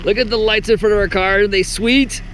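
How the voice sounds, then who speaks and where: A man's voice: sits high, fairly even intonation, brisk, fairly bright tone, slight background noise. A man hosting a casual vlog, giving informal, explanatory updates to the camera. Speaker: vlog host narrating an expedition.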